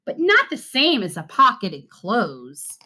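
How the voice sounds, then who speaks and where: A woman voicing a curious, eager young girl in a children's story, questioning and excitable. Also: on the high side, measured pace, full character voice.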